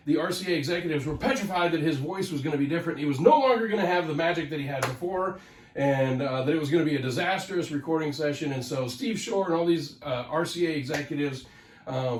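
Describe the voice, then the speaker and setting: A man's voice: on the high side, echoey room, far from the mic, low-quality recording. A man hosting his own channel, talking casually and a little nasally because of a head cold, rambling with lots of fillers and restarts. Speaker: host of a record collecting channel. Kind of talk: casual solo talk to camera.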